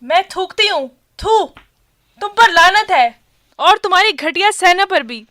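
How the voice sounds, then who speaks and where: A woman's voice: extremely high pitch, expressive, a little slow, bright, forceful tone, full character voice. A woman playing a defiant young woman in a radio drama, declaiming with fierce passion. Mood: scornful, defiant. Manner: impassioned, declaiming. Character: defiant young Kashmiri woman facing soldiers at cliff edge.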